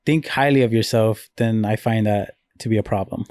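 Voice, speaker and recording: A man's voice: fairly low pitch, moderate pace, a little mellow, no room sound. A man co-hosting a podcast, talking in a laid-back way with short replies on a close mic. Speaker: podcast co-host. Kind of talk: casual chat.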